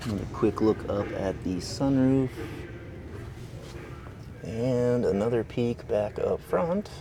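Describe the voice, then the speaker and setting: A man, a car salesman, giving a casual walkaround tour of a vehicle at a dealership, talking in a relaxed, plain way with frequent fillers. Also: medium pitch, takes it slowly, background noise.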